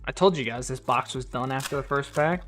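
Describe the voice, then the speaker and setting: A man's voice: medium pitch, a little fast, a bit bright, faint music behind. A man chatting casually on a live stream, reading viewer donations and comments aloud. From United States. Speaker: card collector streamer.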